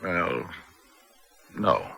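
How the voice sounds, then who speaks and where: An actor playing a confident senior diplomat in a 1970s radio drama, deliberate and urbane. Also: male voice, a bass voice, big pitch swings, vocal fry, pushed and bright, full character voice. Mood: assured.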